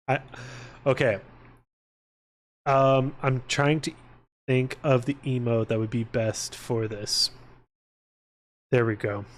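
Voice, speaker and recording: A man's voice: medium pitch, flat delivery, slow pace, long pauses. A man live streaming, chatting casually to his viewers in an informal, rambling way with exasperated asides.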